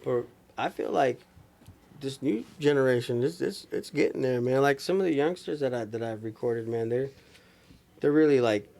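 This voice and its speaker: A man's voice: medium pitch, normal speed, creaky, darkish tone. An American man chatting casually as a podcast guest, with slangy, emphatic talk. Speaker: DJ and recording engineer, podcast guest. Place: small room with couches, indoors.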